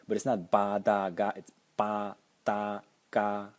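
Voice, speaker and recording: A man's voice: fairly low pitch, completely flat delivery, slow. A young man with a Slovak accent, a native Slovak speaker, explaining sounds in a casual lesson with frequent hesitations. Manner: hesitant, with many ums and restarts. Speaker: language teacher.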